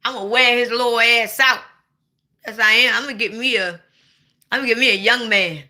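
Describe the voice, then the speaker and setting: Woman, 40s, very bright sharp tone, blunt, playful, loud bursts. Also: fairly high pitch, fairly even intonation, normal speed. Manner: blunt, playful, swings to sudden loud outbursts. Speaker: livestream host. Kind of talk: casual livestream chat.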